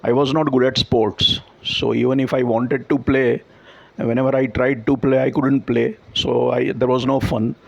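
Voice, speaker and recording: A man's voice: medium pitch, level tone, moderate pace, creaky, highs cut off. A man with a Gujarati-influenced Indian English accent, Gujarati his first language, talking thoughtfully and explaining with examples and small self-corrections. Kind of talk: reflective conversational talk.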